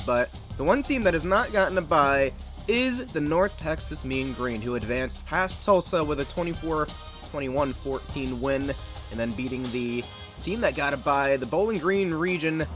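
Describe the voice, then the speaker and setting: Chatty American streamer over game music. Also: male voice, mid-range voice. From United States. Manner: chatty, upbeat, greets chat and calls plays. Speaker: gaming streamer. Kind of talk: casual live-stream commentary. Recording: game music playing behind voice. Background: video game music.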